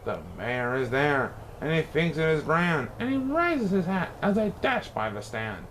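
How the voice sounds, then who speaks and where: A man reading a rhyming children's storybook aloud in a theatrical, expressive way. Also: fairly high pitch, measured pace, a little hiss or hum. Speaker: storybook reader.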